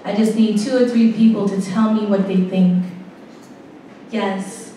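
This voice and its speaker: A woman's voice: mid-range voice, fairly even intonation, a little slow, warm tone. A woman leads a poetry workshop, calling on students and urging them to share. Her voice comes from a distance, with heavy room echo and faint noise. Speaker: workshop leader. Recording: echoey hall, distant mic, some noise.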